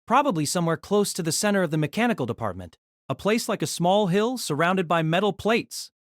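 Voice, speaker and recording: Woman reading a novel out loud, dramatic storyteller, really lively pitch, dry recording. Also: brisk, no room sound. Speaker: narrator. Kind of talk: scripted novel narration.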